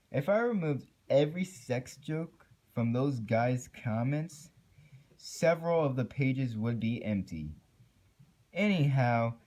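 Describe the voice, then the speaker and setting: A man's voice: medium pitch, a little mellow. A man giving an amateur dramatic reading of a first-person horror story. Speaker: amateur creepypasta narrator.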